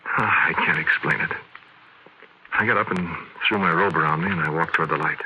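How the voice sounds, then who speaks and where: A haunted soul confides his ghostly encounter in a low, husky voice with a hard, forceful edge. He halts, repeats himself and insists, as in a vintage radio horror play. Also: lo-fi sound, exaggerated voice. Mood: uneasy, awed. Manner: halting, emphatic, conversational confiding with repeated phrases. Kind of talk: old-time radio horror drama narration.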